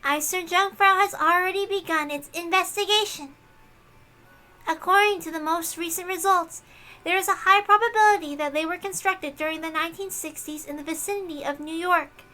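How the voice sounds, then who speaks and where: A woman's voice: very high voice, normal speed, bright, forceful tone, faint music behind, voice pushed up. A woman voicing a prim, ruthless young female inquisition officer, formal and coldly dutiful, in a visual novel read-aloud. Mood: formal report.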